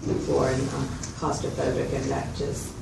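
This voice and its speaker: A woman's voice: rumbling low, completely flat delivery, moderate pace, gravelly, exaggerated voice. A woman playing a candid psychiatric patient, talking hesitantly and plainly in short phrases. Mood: candid, subdued. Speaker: mental health patient in a consultation. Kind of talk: role-played clinical interview, conversational. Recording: distant mic, roomy echo, loud background noise.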